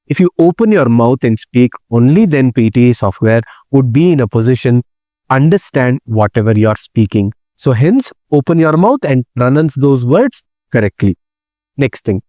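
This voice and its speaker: A man's voice: mid-range voice, warm tone, no room sound, studio quality. A man teaching an exam speaking task, explaining step by step and asking rhetorical questions, often checking in with "correct?" and "okay". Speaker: test prep instructor. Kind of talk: slide-based exam tutorial.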